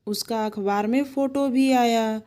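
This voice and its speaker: A woman narrating an animated moral tale in a scripted storytelling style. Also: on the high side, slow.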